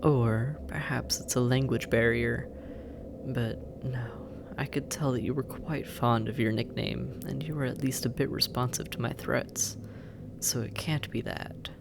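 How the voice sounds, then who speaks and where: An actor playing a sardonic, possessive demon captor in an intimate audio roleplay, musing and teasing, often dropping into breathy murmurs. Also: mid-range voice, steady tone, a little slow, background noise. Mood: musing, puzzled. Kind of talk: intimate scripted audio roleplay addressed to listener.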